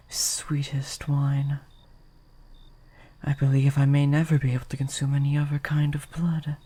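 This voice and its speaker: A breathy, mellow vampire of medium pitch, acted out by a performer for an intimate audio roleplay. The voice is soft and often sinks toward a whisper. The phrasing is courtly and hesitant, gentle yet possessive and lovesick. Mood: yearning, reverent. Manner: formal, old-fashioned, hesitant, earnest.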